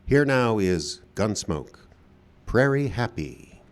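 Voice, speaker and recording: A man's voice: fairly low pitch, big pitch swings, slow. A man hosting and announcing a live radio theater show, delivering a scripted introduction. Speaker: host and announcer.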